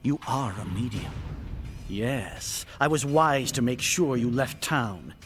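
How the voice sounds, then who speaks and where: A smug game villain who pretends to be a gentle uncle. He speaks slowly and grandly, with big swings of pitch, over clear background music. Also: animated. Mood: smug, menacing. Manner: grandiose, deliberate, theatrical. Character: smug theatrical villain posing as a kindly uncle. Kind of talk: video game cutscene acting.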